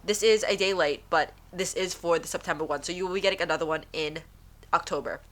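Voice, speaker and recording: A woman's voice: lowish voice, fast talker. A woman hosting her own podcast from home, chatting casually and rambling, with a stuffy, nasal voice. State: stuffy nose. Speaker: podcast host. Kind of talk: casual chat.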